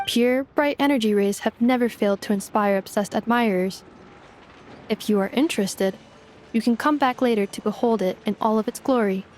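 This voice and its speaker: A woman voicing a polite, precise robot engineer girl in a video game, formal, measured and deadpan. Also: on the high side, a little hiss or hum, natural voice. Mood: calm, matter-of-fact.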